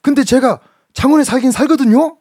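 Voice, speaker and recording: Man, gyeongsang accent, high voice, husky, lively storyteller on radio. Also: brisk, dry recording, clean studio sound. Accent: Gyeongsang Korean. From Gyeongsang region, Korea. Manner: animated storytelling, laughs often. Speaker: radio guest reading listener stories. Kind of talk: casual radio chat.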